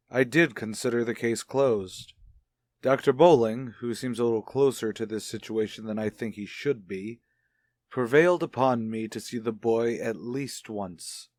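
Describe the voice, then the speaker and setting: A man playing an inquisitive child psychologist, formal and deliberate, in a radio soap opera. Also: mid-range voice, no room sound, natural voice. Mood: measured, curious.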